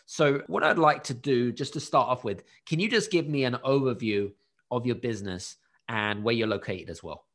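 A man's voice: medium pitch, moderate pace, fairly bright tone. A man hosting a coaching session, upbeat, asking direct questions. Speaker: business coach and podcast host. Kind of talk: coaching conversation.

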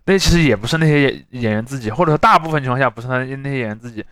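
Fast-talking man chatting, dry close sound. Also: mid-range voice, a bit bright, dry recording. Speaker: podcast host. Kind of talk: casual chat, explaining with examples.

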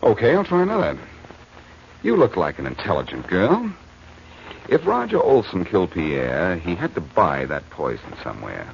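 Low-voiced, wisecracking American radio detective. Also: male voice, huge pitch swings, normal speed, gravelly, heavily acted. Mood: probing, flirtatious. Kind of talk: 1940s radio detective acting. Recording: muffled, noisy old radio recording.

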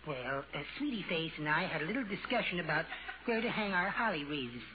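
A man's voice: fairly high pitch, very animated, a little fast. A man playing a meek, childlike, henpecked husband with a mild, hesitant manner in an old radio comedy. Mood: meek, cheerful. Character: meek, henpecked little husband fond of treats. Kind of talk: 1940s-50s radio comedy acting. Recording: muffled, very noisy old radio recording.

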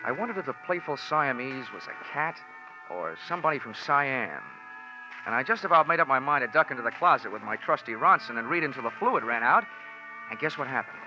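A male actor plays a world-weary 1940s radio investigator. He delivers his lines and narration with dry, deadpan wit in a medium-pitched voice. The old recording is muffled, with music in the background. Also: fairly quick, close to an everyday voice. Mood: wry narrating. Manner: deadpan, wisecracking. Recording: dull vintage 1940s radio, music behind.

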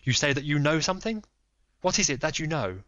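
A man playing a haughty lady of rank, her voice pitched high and tight with strain. The audiobook sound is dry and a little dull. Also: very animated, a little fast, a bit bright, no echo. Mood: indignant. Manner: high, strained, pleading delivery. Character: proud aristocratic lady, desperate, defiant. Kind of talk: audiobook reading.

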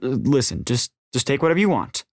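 Tough female dealer played by a male narrator, crime audiobook: blunt, curt, low-voiced. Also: normal speed, no room sound, studio quality, natural voice. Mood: shaken. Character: tough, curt American woman drug dealer.

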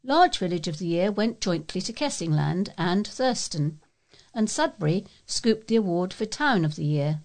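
A woman's voice: lowish voice, expressive, normal speed, darkish tone. A woman reading local news aloud as a volunteer newsreader.

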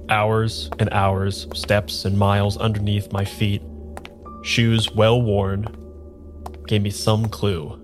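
Low-voiced bewildered amnesiac noir narrator, acted by a man. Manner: measured, dramatic pauses when narrating, hesitant in dialogue. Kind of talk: improvised noir radio drama narration.

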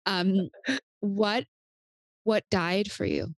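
A woman hosting a podcast interview, responding in a warmly affirming, spiritual way. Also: mid-range voice, sing-song, drawn-out words, no room sound. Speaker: podcast host.

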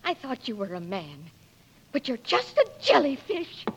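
A woman's voice: sits high, wildly swooping pitch, measured pace, very noisy, heavily acted. An actress playing a blunt, proud frontier woman, forthright and scolding, in 1940s radio drama. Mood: hurt, scornful. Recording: dull lo-fi old radio recording.

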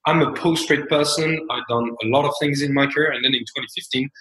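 A man's voice: mid-range voice, fairly even intonation, fairly bright tone. French-accented man in a roomy echo. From France. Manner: measured, reflective, many fillers and restarts. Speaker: fintech founder guest. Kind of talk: interview conversation.

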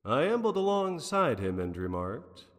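A man's voice: on the high side, sing-song, a little slow. A man playing a wry, unflappable private detective in a 1940s noir radio drama, with a theatrical, wordy manner. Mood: wry, storytelling. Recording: long reverberant hall sound.

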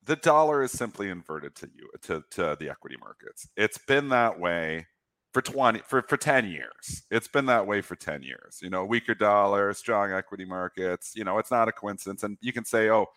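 Canadian guy, low voice, rambling co-host, dry close mic. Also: animated, brisk, fairly bright tone, no echo. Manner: rambling, self-deprecating. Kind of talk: casual market chat.

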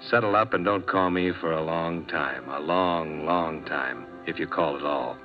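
An American actor playing a weary, wry insurance investigator in a 1950s radio drama, speaking in a terse, dry way. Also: male voice, extremely low pitch, unhurried, music in the background. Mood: weary, bitter. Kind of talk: 1950s radio drama acting with first-person narration. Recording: dull vintage 1950s radio recording.

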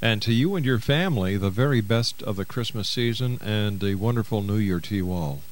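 A man's voice: lowish voice, animated, brisk. A man hosting a radio show, interviewing a guest and reading out announcements. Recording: hissy radio broadcast.

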